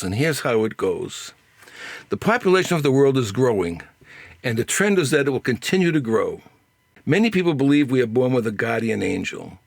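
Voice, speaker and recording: An older man reading his own opinion essay aloud as its author, in a deliberate, wry storytelling manner with pointed pauses. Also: medium pitch, no room sound.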